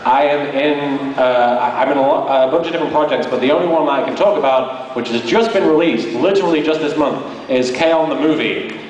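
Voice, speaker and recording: A man's voice: medium pitch, a little fast. A man talking casually and jokingly as a guest at a live convention panel, poking fun at himself. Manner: jokey, self-deprecating. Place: large indoor convention panel room. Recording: echoey hall from afar, loud crowd noise. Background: noisy audience.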